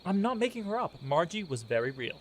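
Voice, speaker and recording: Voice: medium pitch, very animated, fast, close to an everyday voice. Amateur actor doing earnest spooked college kid pushing a ghost story, radio drama, bit of cricket noise. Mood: insistent, alarmed. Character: insistent, spooked college student who believes in ghosts. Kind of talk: amateur radio play acting. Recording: noisy recording with chirping crickets.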